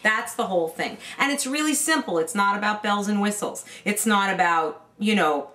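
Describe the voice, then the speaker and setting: Middle-aged vocal coach lady from new york, earnest, keeps restarting, average pitch, home camera mic. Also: lively intonation, normal speed, pushed and bright. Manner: earnest, explanatory, with frequent restarts. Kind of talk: casual interview, explaining singing technique. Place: indoor home room lined with CD shelves.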